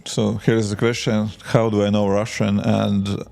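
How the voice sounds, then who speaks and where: A man in his forties from Latvia with a Latvian accent, chatting casually with viewers while coding and answering their questions with halting restarts. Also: lowish voice, flat delivery, vocal fry, darkish tone. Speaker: indie app developer streaming. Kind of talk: casual live-stream chat while coding.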